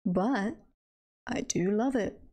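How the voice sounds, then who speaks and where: A woman's voice: medium pitch, slow, warm tone, breathy edge. A woman chatting casually on a live stream, playful and giggly, rambling and trailing off between thoughts. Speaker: streamer and voice roleplayer chatting with viewers.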